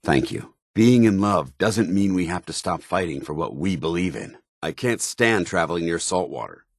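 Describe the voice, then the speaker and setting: An actor playing a stern, disciplined armored soldier in a video game, speaking in formal, clipped military phrases. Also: male voice, low voice, animated, moderate pace, no echo, clean studio sound. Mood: earnest. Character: stern, dutiful armored soldier paladin, grown man, devout and disciplined. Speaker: companion character. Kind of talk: scripted video game dialogue.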